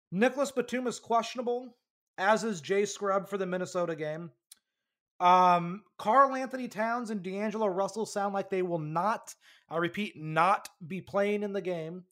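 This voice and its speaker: Young male podcast co-host, high voice, casual and lively, laughs easily. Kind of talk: casual sports podcast chat.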